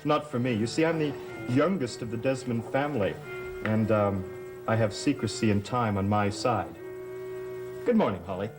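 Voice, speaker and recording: An actor as a sardonic young gothic heir. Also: male voice, mid-range voice, moderate pace, music in the background, lightly acted. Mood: mocking, smug. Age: young adult. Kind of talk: 1960s-70s gothic TV soap acting.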